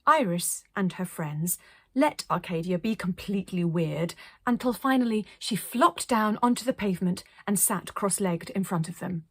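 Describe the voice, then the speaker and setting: A woman reading a children's story aloud as storyteller, expressive, with dramatic pauses. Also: medium pitch, slightly rough, no room sound, clean studio sound. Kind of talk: scripted children's story narration.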